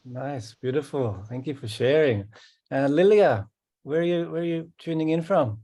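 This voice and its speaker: A man hosting an online meeting, welcoming each participant calmly and often repeating his words. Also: a little high, normal speed. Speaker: host. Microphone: video call.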